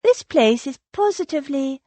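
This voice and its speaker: Breathless, high-pitched young society lady, all effusive pleas and sudden gushes, her voice leaping about as she apologises, put on by a woman reading a novel aloud. The recording is close and dry. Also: expressive, normal speed, dark tone, no room sound. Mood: apologetic. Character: gushing, anxious young society wife.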